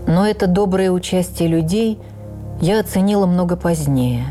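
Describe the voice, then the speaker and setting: A woman playing an older actress who recalls her life in a heartfelt, measured radio memoir reading with deliberate pauses. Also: lowish voice, dark tone, soft background music, lightly acted. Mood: grieving. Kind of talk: dramatic first-person memoir reading.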